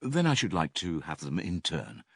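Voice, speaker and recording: An attentive, husky-voiced philosophy pupil. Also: male voice, low-pitched, wildly swooping pitch, moderate pace, no room sound, studio quality, heavily acted. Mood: attentive, deferential. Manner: deferential, formal, succinct. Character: agreeable, attentive male pupil in philosophical debate. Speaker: audiobook actor reading philosophical dialogue. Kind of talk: dramatised classical dialogue reading.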